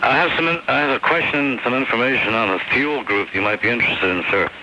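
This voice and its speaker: A man phoning in to a radio show, speaking in a halting way. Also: mid-range voice. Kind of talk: halting phone-in talk. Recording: dull noisy phone call over shortwave radio.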